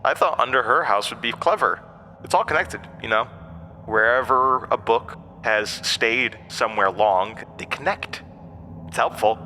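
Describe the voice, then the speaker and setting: A man's voice: mid-range voice, measured pace, pushed and bright, in a large hall, a little hiss or hum. A man playing a gleeful, unhinged sinister entity in a horror audio drama, mocking and familiar. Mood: gleeful menace.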